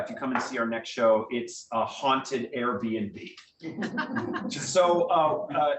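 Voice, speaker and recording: Echoey, distant man addressing a group. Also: fairly bright tone. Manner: conversational, explanatory, addresses listeners directly. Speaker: guest speaker, theatre director. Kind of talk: informal talk to a group. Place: meeting room, speaking to a live group. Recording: distant echoey room with rumbling noise.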